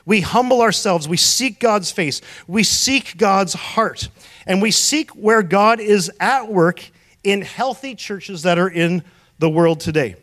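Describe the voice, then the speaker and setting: Canadian guy preaching, pretty high voice, lots of questions to the crowd. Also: takes it slowly, fairly bright tone. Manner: rhetorical questions, storytelling preacher. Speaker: pastor. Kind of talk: sermon.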